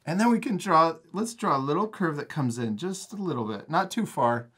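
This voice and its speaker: Man, animated intonation, casual, encouraging, children's art teacher, step-by-step instruction. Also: on the high side, normal speed, breathy edge. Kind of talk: step-by-step drawing lesson for children.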